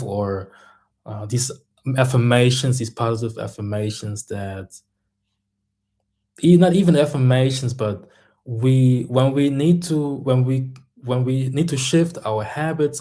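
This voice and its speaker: Slow, mellow man. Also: medium pitch. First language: German. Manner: thoughtful, searching for words. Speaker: mindset coach and music artist. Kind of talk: casual reflective monologue.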